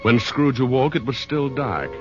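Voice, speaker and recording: An actor playing a wry, slangy storyteller who narrates a Christmas tale in a folksy 1940s radio manner. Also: male voice, medium pitch, normal speed, natural voice. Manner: folksy, colloquial, animated. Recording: dull old radio recording, music behind.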